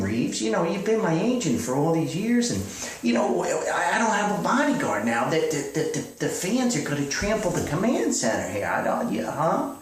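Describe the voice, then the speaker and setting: A man playing a hapless, excitable, self-styled famous author who stays stubbornly positive, stammering and rambling through a one-sided comedy phone call. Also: fairly high pitch, voice pushed up. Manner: stammering, rambling, repeating himself. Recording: echoey distant old recording, faint noise.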